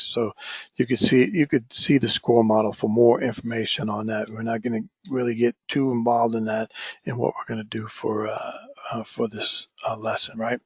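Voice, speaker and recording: Hoarse male lecturer with a cold. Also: mid-range voice, a little mellow, dry recording. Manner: frequent restarts, asks "right?" often. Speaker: instructor. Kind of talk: recorded online lecture. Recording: dull, dry lo-fi recording.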